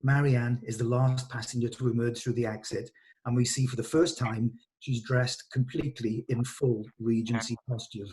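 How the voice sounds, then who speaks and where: A man's voice: medium pitch, steady tone, moderate pace, a little mellow, low-quality recording. A man giving a scripted narration of screenplay stage directions in a read-through over a video call. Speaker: reader of stage directions, running the rehearsal.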